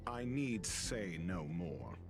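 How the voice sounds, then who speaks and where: An actor playing a composed detective in a video game cutscene, articulate and deliberate as he reasons out a mystery. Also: deep voice, sing-song, vocal fry, heavily acted. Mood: grave, emphatic. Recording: music behind the voice. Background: background music.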